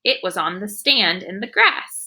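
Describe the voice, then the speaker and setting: Woman as a bright, gleeful young schoolgirl storyteller. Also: mid-range voice, sing-song, measured pace, breathy edge, exaggerated voice. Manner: childlike phrasing, emphatic enthusiasm. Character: spirited young schoolgirl narrator, quirky and gleeful.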